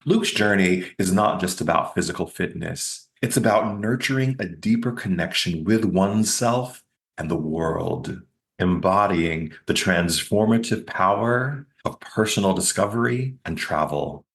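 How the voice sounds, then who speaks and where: A man hosting an interview show, reading a measured scripted introduction and then asking questions. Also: low voice, fairly bright tone. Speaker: podcast host.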